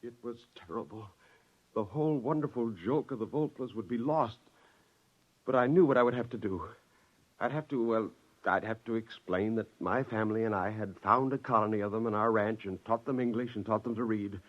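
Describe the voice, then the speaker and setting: A man's voice: on the low side, fairly quick, lightly acted. An actor playing an exasperated father and scheming inventor in 1950s radio drama, blustering in dialogue and narrating his own story. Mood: rueful narration. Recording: dull vintage 1950s radio recording.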